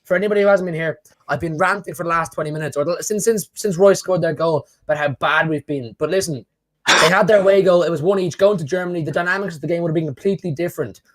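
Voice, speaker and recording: A man's voice: a little high, fast talker, fairly bright tone. A young man hosts a football watch-along, talking in a slightly raised voice. He rants hard, swears freely and sounds like he is losing his voice. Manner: emotional, swears, rants then celebrates.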